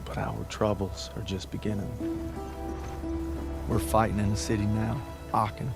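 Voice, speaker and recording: A man's voice: lowish voice, flat delivery, moderate pace, gravelly, over music. An actor playing a weary, homesick young infantryman, reflectively narrating his letters home in a war game. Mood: somber, reflective.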